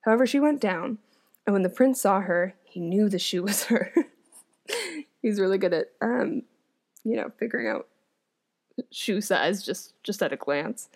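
A woman's voice: mid-range voice, lively intonation, a little slow, pauses often. Chatty young woman reading a folk tale. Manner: amused, chuckling, conversational asides. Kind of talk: reading aloud with casual chatty commentary.

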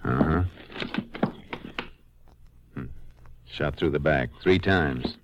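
An actor playing a calm, observant American male Texas Ranger in a matter-of-fact 1950s radio western crime drama. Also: very deep voice, drawn-out words, lo-fi sound, full character voice. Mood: calm, deliberate. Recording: faintly noisy old radio recording.

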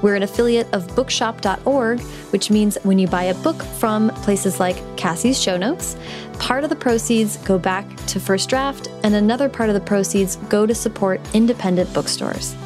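A woman with a voice of middling pitch hosts a podcast in an upbeat, chatty manner. She talks in quick bursts. Music plays clearly under her voice. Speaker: podcast host. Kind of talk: scripted intro then casual interview chat.

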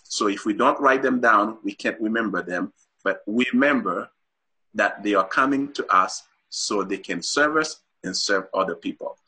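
A man's voice: fairly low pitch, moderate pace, pushed and bright, breathy edge, low-quality recording. A middle-aged man from Haiti, a guest author on a talk show, explains his ideas earnestly with many fillers and restarts.

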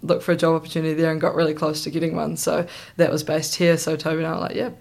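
A woman's voice: deep voice, monotone, rapid-fire, creaky, darkish tone. A young New Zealand woman with a New Zealand accent, a podcast guest chatting casually with lots of ums and restarts. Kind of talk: casual interview chat.

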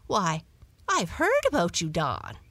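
Woman acting a lost young she-cat, meek, polite, pleading, swooping pitch, dry storybook recording. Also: big pitch swings, takes it slowly, dry recording. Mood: surprised, eager. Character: lost, timid, tired young female runaway cat. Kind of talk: children's storybook character voice.

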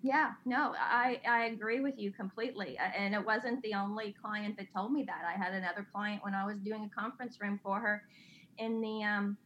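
A woman's voice: mid-range voice, steady tone, normal speed. A middle-aged woman, speaking candidly as an interview guest, earnest and confessional, often restarting her sentences. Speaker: guest, designer and child advocate. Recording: slightly dull lo-fi sound.

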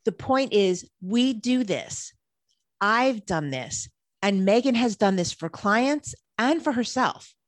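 A woman's voice: fairly high pitch, animated, slow pace, no echo. A woman co-hosting a podcast who reads scripted points in an easy, upbeat way. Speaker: podcast co-host.

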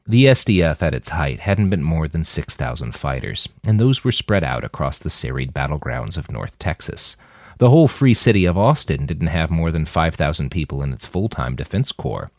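A man's voice: extremely low pitch, big pitch swings, normal speed, a little mellow, dry recording, studio quality. A man narrating a novel expressively, moving between storytelling and character dialogue. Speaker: fiction podcast narrator reading a novel.

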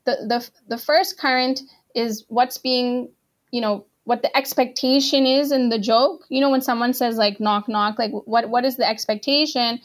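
Chatty woman with a high voice. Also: fairly even intonation, normal speed. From Pakistan. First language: not Arabic. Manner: chatty, full of "like" and "you know". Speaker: guest translator. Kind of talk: casual interview chat.

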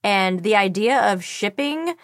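A woman's voice: mid-range voice, lively intonation, measured pace, no room sound, clean studio sound. A woman voice actor, a guest in a casual interview, talking enthusiastically and swearing now and then.

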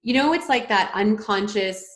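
A woman's voice: medium pitch, normal speed, echoey room. A woman hosting a podcast interview, whose first language is French, asking curious, reflective questions. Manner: curious and reflective, thinks aloud. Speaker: podcast host. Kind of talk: casual interview conversation.

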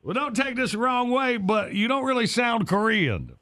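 High, lively-voiced radio host man. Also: normal speed. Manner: laughing, playful. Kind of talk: morning radio show banter.

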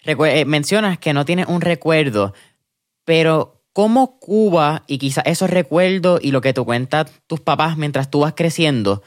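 A man hosting a casual interview podcast, relaxed and tossing English words into his Spanish. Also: fairly high pitch, normal speed, dry recording, studio quality. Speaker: podcast host.

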